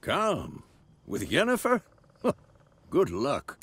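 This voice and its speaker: An actor playing a gruff, wry, fatherly older warrior mentor in a video game, with a gravelly voice. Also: a little high, wildly swooping pitch, very slow, a bit bright, dry recording, heavily acted. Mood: wry, reminiscing. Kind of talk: video game dialogue acting.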